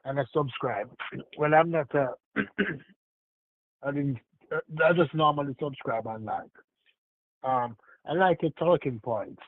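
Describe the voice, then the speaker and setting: A man's voice: a little high, measured pace, long pauses. A man with a heavy Jamaican accent calling in to a political talk stream, earnest and a little apologetic. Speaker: caller. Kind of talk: phone-in political chat. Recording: tinny phone line.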